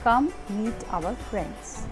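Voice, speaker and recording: A woman's voice: medium pitch, expressive, drawn-out words, over music. A woman teaching young children in an online class. She reads from a textbook and explains it patiently, step by step.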